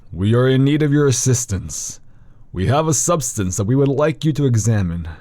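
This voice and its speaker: An actor playing a grave, learned older doctor of the occult in an audio horror drama, speaking in a measured, formal way. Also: male voice, medium pitch, animated, warm tone, dry recording, natural voice. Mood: formal, urgent.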